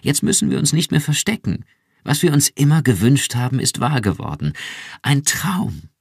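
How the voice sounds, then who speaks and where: A man's voice: sits low, expressive, slow pace, no echo, studio quality, full character voice. A man voicing an earnest young wife who pleads insistently and fervently.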